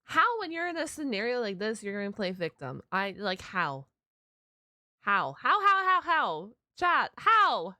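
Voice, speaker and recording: A woman's voice: a little high, very animated, measured pace, sharp tone, no room sound. A woman giving a casual live reaction commentary, exclamatory and slangy, repeating words as she addresses her chat. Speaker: reaction video host.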